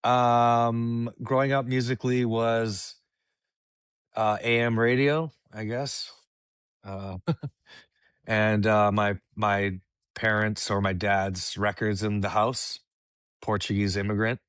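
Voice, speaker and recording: A man's voice: on the low side, fairly even intonation, slow pace, pauses often, fairly bright tone, clear, resonant tone, dry recording, clean studio sound. A Canadian man from Vancouver, a guest DJ talking in a laid-back way with frequent laughs and fillers. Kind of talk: casual interview chat.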